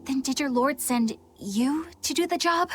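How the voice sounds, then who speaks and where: A woman's voice: sits high, measured pace, slight background noise. A woman playing an earnest, questioning young woman in dubbed video game dialogue. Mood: incredulous.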